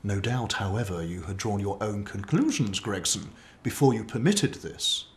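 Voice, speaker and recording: An actor playing a sardonic, aloof Victorian detective with dry delivery in a dramatised story reading. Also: male voice, fairly low pitch, expressive, normal speed, lightly acted.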